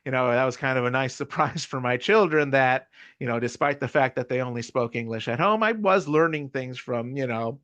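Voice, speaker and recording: A man's voice: mid-range voice, brisk, a bit bright, no room sound, lo-fi sound. A middle-aged American man with a Californian accent giving a casual, chatty lecture, often checking in with the listener. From United States. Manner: casual, chatty, frequent "you know" and "right?" tag questions. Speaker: university lecturer. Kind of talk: conversational lecture.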